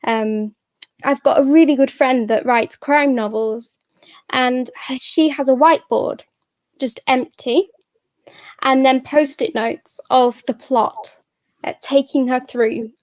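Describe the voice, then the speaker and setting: A woman hosting an event, talking reflectively and informally in a thoughtful, halting way. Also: sits high, fairly even intonation, darkish tone. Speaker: event host and poet. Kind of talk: reflective informal talk to an audience. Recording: echoey, slightly muffled sound.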